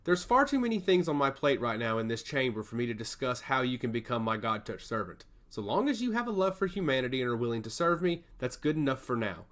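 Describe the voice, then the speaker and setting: A proud god of chaos, voiced by a male narrator, talks with animated pitch. He is blunt, commanding and protective of his followers in a fantasy tale. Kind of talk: fantasy audio story dialogue.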